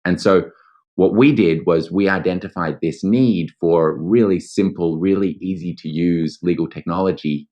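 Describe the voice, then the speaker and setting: Very deep-voiced Australian man. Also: moderate pace, dark tone, dull audio. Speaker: interview guest, legal tech founder. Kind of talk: explanatory interview talk.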